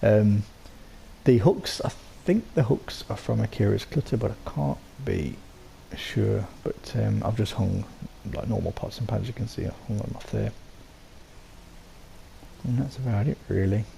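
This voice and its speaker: A man with a sore throat and a croaky voice, casually talking through how he built something, rambling with lots of ums and restarts. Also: lowish voice, measured pace, dark tone, slight background noise, rough recording. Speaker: game settlement builder. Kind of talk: casual voice-over walkthrough.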